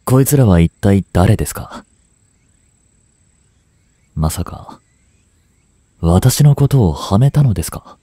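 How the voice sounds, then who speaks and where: A man in his twenties acting a proud, smooth-talking young prince exposed as a spy, with formal, pleading delivery in a dramatic voice drama. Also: lowish voice, expressive, takes it slowly, long pauses, dark tone, exaggerated voice. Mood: shocked. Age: 20s. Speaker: amateur voice actor and voice drama creator.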